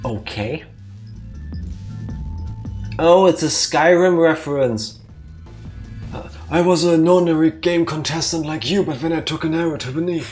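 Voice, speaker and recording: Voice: mid-range voice, unhurried, long pauses, a little mellow, soft background music. A co-host of a let's-play, chatting casually and reading game text aloud with dry jokes. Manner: joking, dry reading of on-screen lines. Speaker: co-host playing a video game.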